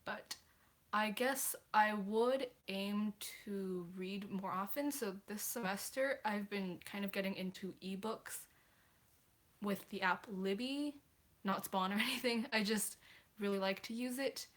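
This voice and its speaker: A woman's voice: medium pitch, unhurried. A teenage girl hosting a casual livestream, answering viewer questions in a chatty, apologetic way with many hesitations. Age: teens.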